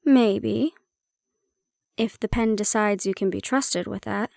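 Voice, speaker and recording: A woman's voice: mid-range voice, slow, gravelly, a little mellow, no echo. Woman acting wry, prickly fantasy handler, close-up. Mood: musing, matter-of-fact. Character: prickly but secretly caring woman handler in fantasy facility. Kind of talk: intimate audio roleplay, addressed to the listener.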